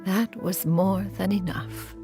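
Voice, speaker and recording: A woman's voice: mid-range voice, fairly even intonation, slow pace, music in the background. A woman playing a proud, ancient female crane adeptus in a video game, speaking in stately, formal, archaic phrasing. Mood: tender, moved. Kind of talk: scripted video game voice acting.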